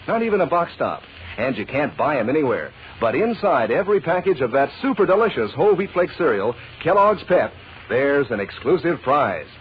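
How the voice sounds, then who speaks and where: A dull, lightly hissy vintage radio recording carries a male announcer pitching to kids. He is chummy and enthusiastic, with a very lively rise and fall in his voice. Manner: chummy salesmanship aimed at kids. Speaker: commercial announcer.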